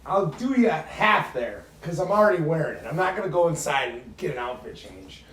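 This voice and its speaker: Teasing booming-voiced man in a garage. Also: fairly quick. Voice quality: booming, projecting voice. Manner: teasing, sarcastic, animated. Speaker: podcast guest. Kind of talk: casual chat. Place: home garage. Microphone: phone held away from the face.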